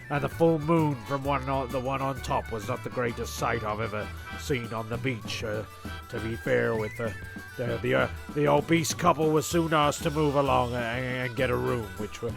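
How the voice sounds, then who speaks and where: A man narrates a comic story in an offhand way. His medium-pitched voice is very gravelly, and he stumbles and repeats phrases while music plays clearly behind him. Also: moderate pace.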